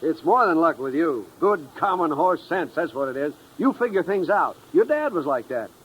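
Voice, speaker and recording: A man's voice: on the high side, big pitch swings, normal speed, a bit bright, slightly rough, full character voice, low-quality recording. An actor playing a genial, fatherly older small-town businessman in a 1950s radio drama. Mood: hearty, welcoming. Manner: genial, avuncular. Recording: old radio recording, faint noise.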